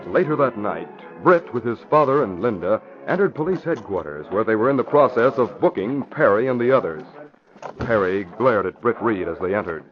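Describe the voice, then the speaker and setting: A man's voice: fairly low pitch, lively intonation, moderate pace, music in the background. An American man narrating and announcing in emphatic, formal 1940s radio style. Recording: dull vintage radio recording.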